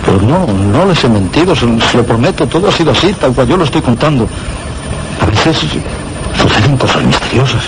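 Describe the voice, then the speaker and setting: A man's voice: medium pitch, lively intonation, background noise, close to an everyday voice. An actor playing a haunted, confined man who halts and repeats himself in a Spanish suspense radio drama, with a Castilian accent. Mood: pleading, defensive. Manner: agitated, halting, repeating words. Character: haunted confined man recounting horror, insisting he is harmless.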